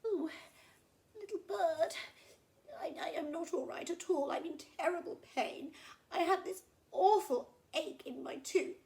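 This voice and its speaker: A woman's voice: extremely high pitch, unhurried, warm tone, pitched above the performer's own voice. A woman voicing an emotional, repentant crocodile in a children's story, pleading and stammering as it exclaims. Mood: woeful whining.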